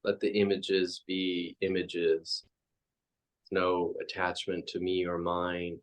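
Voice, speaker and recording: A man leading a guided meditation as a teacher, speaking in halting, reflective phrases with frequent pauses. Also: sits low, monotone.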